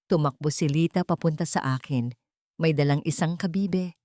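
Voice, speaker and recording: A woman narrating a scripted sentimental story in a measured, earnest way. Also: low-pitched, fairly even intonation, mellow, no room sound, studio quality. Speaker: storyteller, narrator of audio drama. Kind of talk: scripted dramatic first-person narration.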